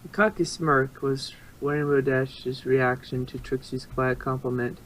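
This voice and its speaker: A man's voice: mid-range voice, level tone, unhurried, fairly bright tone, a little hiss or hum, poor audio. Male story narrator, middle register, unpolished read with stumbles and retakes. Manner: stumbles and rereads phrases. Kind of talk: amateur story reading.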